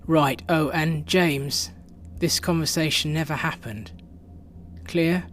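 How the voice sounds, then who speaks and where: A man's voice: on the high side, steady tone, slow pace, fairly bright tone, slight background noise. A man acting a ruthless intelligence chief in a radio drama, clipped and commanding. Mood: cold, commanding. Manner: clipped, authoritative.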